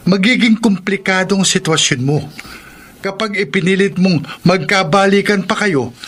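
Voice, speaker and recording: High-voiced admonishing friend. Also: male voice, moderate pace, fairly bright tone. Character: admonishing, level-headed male friend and confidant. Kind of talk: Filipino radio drama acting. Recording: clearly noisy ambience behind.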